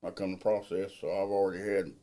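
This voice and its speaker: A middle-aged man, a field crew foreman, answering interview questions in a loud, plainspoken, folksy way. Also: low voice, level tone, quick pace. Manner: plainspoken, folksy, unpolished grammar.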